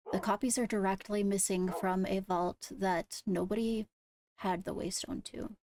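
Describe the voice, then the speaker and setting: A woman speaking in a measured, deliberate way with formal phrasing during an improvised roleplay discussion. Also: mid-range voice, vocal fry, noisy background. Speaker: roleplay streamer playing a kingdom member.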